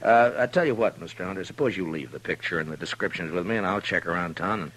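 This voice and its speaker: An actor playing a steady, grave, plain-spoken frontier lawman in an old-time radio western, halting and understated. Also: sits low, rough recording. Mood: businesslike, guarded.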